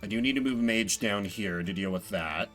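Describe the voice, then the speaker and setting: A man's voice: fairly low pitch, brisk, a bit bright, faint music behind. A man streaming a strategy game, musing aloud as he talks himself through his decisions. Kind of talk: live strategy game commentary, thinking aloud.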